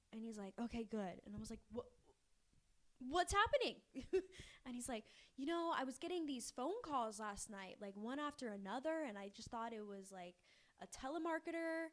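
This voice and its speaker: High-voiced young woman telling a story. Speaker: podcast co-host. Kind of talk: casual storytelling chat.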